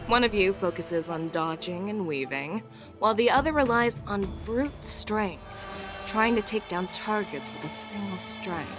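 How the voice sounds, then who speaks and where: A woman's voice: mid-range voice, animated, a little slow, lightly acted. An actress voicing a confident, playful woman general in a video game, teasing and self-assured, with music behind.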